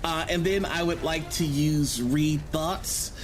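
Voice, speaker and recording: Male tabletop player, slightly high voice, casual in-character play, faint background noise. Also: slow pace.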